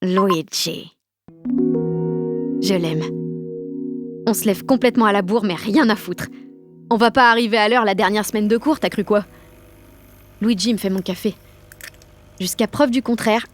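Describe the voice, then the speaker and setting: A woman's voice: mid-range voice, a little slow, long pauses, soft background music, natural voice. A woman playing a rebellious, sardonic sixteen-year-old schoolgirl who tells her story in blunt, swearing slang in an audio drama. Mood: wry. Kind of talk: first-person audio drama narration in slangy speech.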